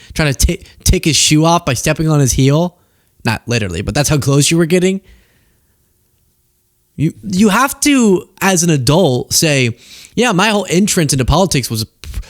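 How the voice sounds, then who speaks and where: An American man with a fairly high voice, recorded dry and close. He talks like a commentary host reacting to clips, casual and conversational, with sarcasm and open disbelief. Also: dry recording. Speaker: political commentary show host.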